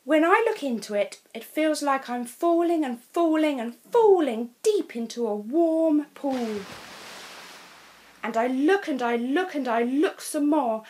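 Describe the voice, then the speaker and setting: A woman voicing a kind schoolteacher gently praising a child's treasure. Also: high voice, expressive, unhurried, pitched above the performer's own voice. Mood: admiring. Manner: warm, wondering praise.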